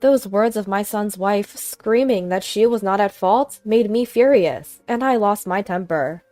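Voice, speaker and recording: A woman's voice: mid-range voice, a little slow, darkish tone, no echo. Female story narrator, scripted first-person tale, dry sound over light music.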